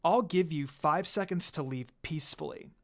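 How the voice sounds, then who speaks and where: A story reader voicing a cool, guarded young female ninja who talks in a low-key, firm way. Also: normal speed, natural voice. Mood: stern warning.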